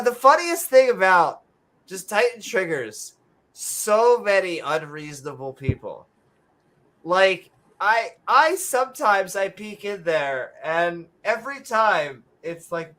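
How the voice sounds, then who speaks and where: A woman's voice: fairly low pitch, a little slow. A woman co-hosting a podcast in casual chat, telling jokey stories and swearing freely. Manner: animated, swearing, joking storyteller. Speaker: co-host.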